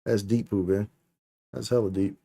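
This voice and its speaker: A man's voice: lowish voice, fairly even intonation, normal speed, vocal fry, dark tone. A man chatting casually on a live gaming stream, slangy and playful, rambling and often repeating his phrases. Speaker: game streamer, host.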